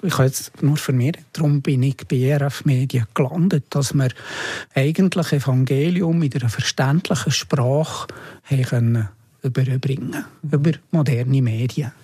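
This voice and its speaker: Man, Swiss German accent, husky, dry close recording, informal reminiscing, interview guest. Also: mid-range voice, normal speed, dark tone, no echo. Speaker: interview guest, former media editor.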